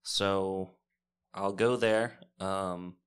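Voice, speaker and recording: A man playing in a tabletop game, casually describing his moves in a halting, low-key way. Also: fairly even intonation, clear, resonant tone. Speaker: player in a tabletop game podcast.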